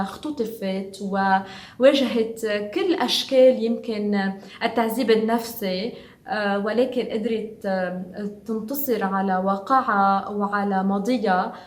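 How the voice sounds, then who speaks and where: A woman's voice: medium pitch, echoey room. A woman with a Lebanese Arabic accent, hosting a television interview and interpreting for viewers. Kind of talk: presenting and translating for viewers.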